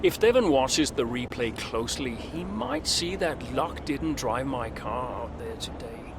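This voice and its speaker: Veteran male driver, clipped and dry, mid-pitched, video game drama, noise behind. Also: animated, measured pace. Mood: guarded, dry. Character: veteran male racing driver, curt, weary, proud. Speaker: racing driver. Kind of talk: video game cutscene acting.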